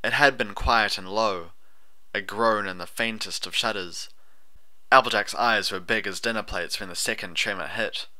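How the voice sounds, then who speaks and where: A man's voice: lowish voice, normal speed, bright, forceful tone. A man reading a fantasy story as a scripted audiobook narration.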